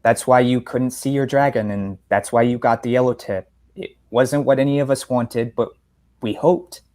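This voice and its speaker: A man reads the part of a magic professor dad in a storybook tale. He is gentle and earnest, with a mid-pitched voice. His delivery is measured and reassuring. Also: fairly even intonation, natural voice. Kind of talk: story reading, dialogue part.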